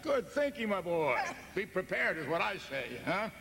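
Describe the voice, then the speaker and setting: A man's voice: sits high, sing-song, normal speed, gravelly, full character voice. A man playing a jovial, unflappable, bossy American father, folksy and blustering, in an audio drama. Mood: hearty. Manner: hearty, folksy, blustering, repeats himself. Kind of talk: theatrical audio drama acting. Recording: loud background noise.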